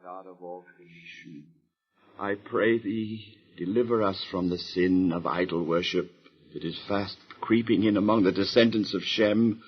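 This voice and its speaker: Low, husky, devout Abram. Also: male voice, steady tone, measured pace. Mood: grave, devout. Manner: earnest, formal oratory. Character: earnest, devout, tender young biblical man Abram. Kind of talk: audio Bible drama acting. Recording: dull lo-fi recording.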